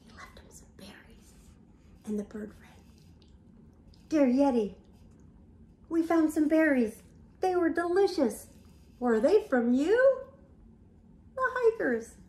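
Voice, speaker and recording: Older lady teacher reading a storybook, high lively voice, slow and drawn out. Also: roomy sound. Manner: animated storytelling with gasps and whispers. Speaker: teacher reading aloud to young children. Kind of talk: picture-book read-aloud. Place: home room, indoors.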